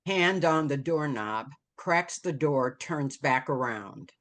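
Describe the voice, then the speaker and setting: A woman reading screenplay stage directions aloud as scripted narration, with dramatic, deliberate phrasing. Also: low voice, expressive.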